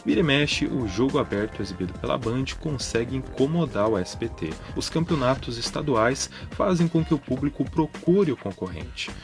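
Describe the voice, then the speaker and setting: A Brazilian man with a mid-pitched voice narrates news commentary. He explains things informally, as in a video rundown. Music is clearly audible behind his voice. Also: expressive, moderate pace, hardly any pauses. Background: background music.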